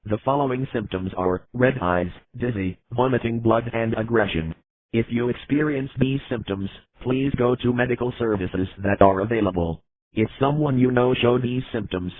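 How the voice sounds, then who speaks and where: A man's synthetic voice reading a scripted public warning as an emergency alert announcer, flat and formal. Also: medium pitch, no room sound. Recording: muffled phone-like alert audio.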